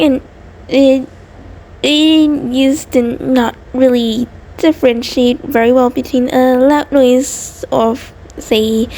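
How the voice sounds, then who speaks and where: A woman's voice: high voice, mellow, rough recording. A woman voicing an anxious, devoted centipede creature partner in an intimate audio roleplay, nervous and hesitant, stammering and rambling. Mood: anxious, pleading. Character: anxious, devoted female mutant centipede partner, sweet and neurotic.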